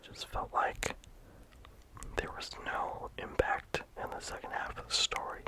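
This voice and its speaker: This is a whispered ASMR game review, in a steady voice with hardly any sound behind it. The reviewer rambles casually and leans on "like" between thoughts. The breathy hush never lifts into full voice. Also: measured pace. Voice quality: whispered throughout. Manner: casual rambling with many "like" fillers.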